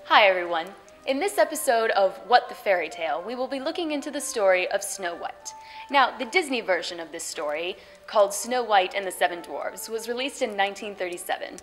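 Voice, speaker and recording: A woman presents scripted storytelling as a show host, speaking straight to the viewer. Her delivery is playful and a little theatrical, at an average pitch, with music underneath. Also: animated, brisk, a bit bright. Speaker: host of a fairy tale web show.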